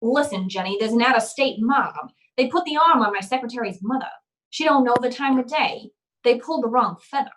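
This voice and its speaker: A woman's voice: a little high, expressive, brisk, a bit bright, poor audio. A woman playing a tough, wisecracking, unflappable private detective in an old-time radio drama, with clipped, slangy delivery. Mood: tough, pressing.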